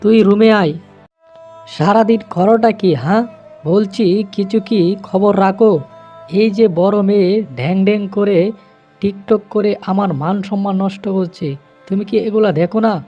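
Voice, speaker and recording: A man's voice: sits high, steady tone, moderate pace, dark tone, highs cut off, faint music behind. A man voicing a stern, fretful father fox in a cartoon, scolding and lamenting. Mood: angry, scolding. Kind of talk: cartoon voice acting.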